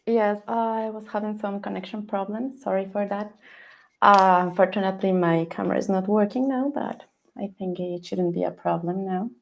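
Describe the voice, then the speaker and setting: A woman from Ecuador, giving a student presentation over a video call in halting, English. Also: mid-range voice, steady tone, gravelly. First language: Spanish. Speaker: data analytics student presenting.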